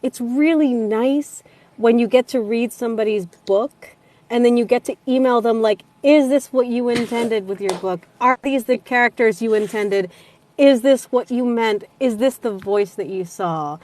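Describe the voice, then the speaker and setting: A woman's voice: fairly high pitch, moderate pace, a little mellow. A woman audiobook narrator with a nasal voice, chatting casually on a live panel and swearing freely. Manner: chatty, swears casually, acts out quoted exchanges.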